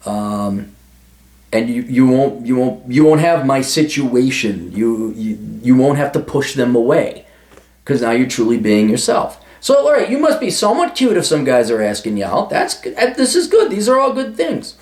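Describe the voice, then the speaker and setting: A man's voice: mid-range voice, sing-song, normal speed, a little mellow. Guy ranting advice, swears a lot, voice jumps all over, echoey room. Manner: crude, emphatic, swears freely. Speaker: advice vlogger answering a listener letter. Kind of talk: blunt, profane advice monologue. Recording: roomy echo.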